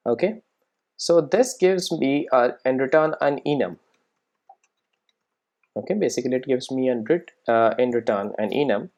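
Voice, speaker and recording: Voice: mid-range voice, lively intonation, normal speed, long pauses, a little mellow, dull audio. A programming instructor giving a casual step-by-step screen-recorded tutorial, often checking in with "right?" and "okay".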